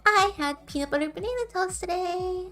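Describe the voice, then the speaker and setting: A young woman streamer with a soft, quiet voice, chatting casually with her viewers in a rambling, giggly way. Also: very high-pitched, normal speed, faint music behind.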